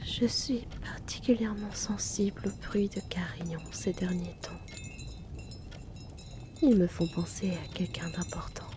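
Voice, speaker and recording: A woman playing a soothing, motherly hypnotist and colleague in an ASMR audio roleplay, speaking in a hushed, reassuring manner. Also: fairly low pitch, a little slow, lightly acted. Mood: calm, brooding. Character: soothing, motherly female hypnotist and bar colleague comforting someone.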